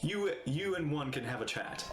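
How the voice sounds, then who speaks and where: A woman streamer with a strikingly low voice reacts casually to a game. Her phrasing is halting and thoughtful, with plenty of movement in pitch. The room echoes and game music plays. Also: expressive. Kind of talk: casual livestream commentary, reading along and reacting.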